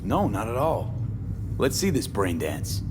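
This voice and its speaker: A man's voice: on the low side, big pitch swings, a little slow, background noise. An actor playing a streetwise, wary mercenary man in a video game, speaking in clipped, direct slang. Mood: assured. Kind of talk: video game dialogue acting.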